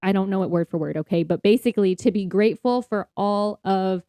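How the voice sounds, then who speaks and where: Mellow-voiced woman chatting casually. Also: medium pitch, a little fast, dry recording. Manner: informal, chatty, affirming interjections. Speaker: podcast co-host. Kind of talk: casual conversational faith podcast.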